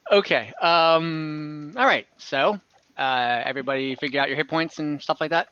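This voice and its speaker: A man's voice: fairly high pitch, moderate pace, sharp tone, smooth, no room sound, highs cut off. A man acting as game master, casually narrating scenes and chatting with his players over an online call.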